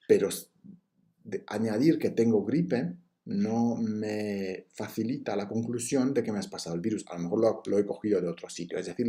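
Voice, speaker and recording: A man's voice: lowish voice, mellow. A man giving a recorded university lecture on logic, explaining step by step with frequent fillers and restarts.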